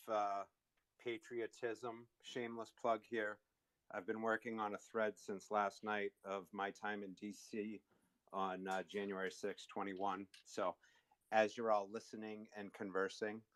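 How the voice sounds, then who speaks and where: Low, flat-voiced man. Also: normal speed, no room sound. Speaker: listener on stage. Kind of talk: casual online audio chat.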